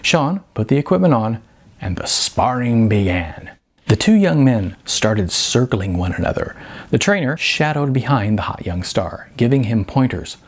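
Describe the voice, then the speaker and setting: Man, audiobook narrator, mid-pitched, husky, expressive intonation, scripted storytelling. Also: moderate pace.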